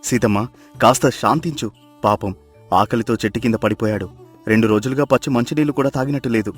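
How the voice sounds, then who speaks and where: A man's voice: medium pitch, brisk, husky, natural voice. A man playing a kind, poor fisherman in a dramatized moral story, speaking earnestly and with compassion. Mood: earnest, pleading. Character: kind, poor fisherman pleading for mercy on a stranger. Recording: music behind the voice.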